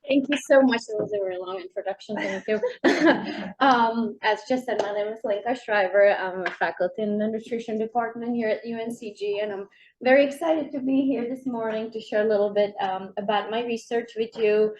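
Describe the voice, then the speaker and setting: A woman's voice: on the high side, fairly even intonation, a little fast, a little hiss or hum. Czech-accented woman giving a lecture. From Czech Republic. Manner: many fillers, warm self-deprecating asides. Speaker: nutrition professor. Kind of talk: keynote lecture. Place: lecture room. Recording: dull lo-fi sound.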